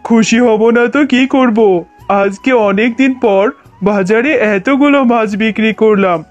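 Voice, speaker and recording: Actor doing cartoon fox papa, hardworking, fond of his kid, chatty homely talk, soft music behind. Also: medium pitch, muffled recording, natural voice. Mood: happy, relieved. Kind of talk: Bengali children's cartoon dialogue.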